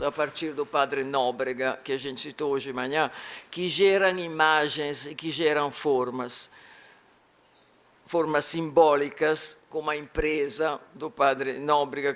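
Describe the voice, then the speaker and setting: A man lecturing in an academic talk with an Italian-tinged Rio accent, pausing often and restarting his phrases. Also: a little high, fairly bright tone. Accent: Italian-tinged Rio Portuguese. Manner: halting, with frequent restarts and fillers. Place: lecture hall.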